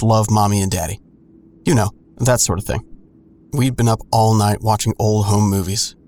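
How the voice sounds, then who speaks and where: A man playing a grown son who narrates an audio drama in a sardonic, colloquial, deadpan way. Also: on the low side, lightly acted. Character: wry adult son narrating his own hard-boiled story. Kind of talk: first-person audio drama narration with dialogue.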